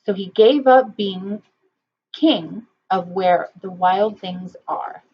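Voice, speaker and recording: A woman reading a children's picture book aloud, expressive, with long pauses between phrases. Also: mid-range voice, husky, muffled recording. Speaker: storybook reader.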